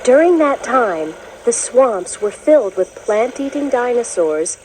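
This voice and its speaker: A woman narrating a children's educational book, reading in a clear, measured, explanatory way as if to young listeners. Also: on the high side, very animated, noisy background. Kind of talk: scripted storybook narration.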